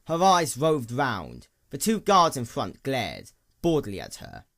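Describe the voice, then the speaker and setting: Animated male story narrator. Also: medium pitch, a little slow, vocal fry. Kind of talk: scripted fiction narration.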